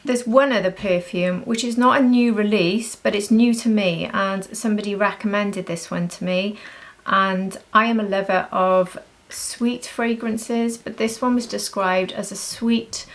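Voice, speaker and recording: Woman doing a chatty beauty review, lots of vocal fry, really gushy and emphatic. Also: mid-range voice, fairly even intonation, measured pace. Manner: gushing, emphatic repetition. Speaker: beauty vlogger. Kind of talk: casual chatty product review.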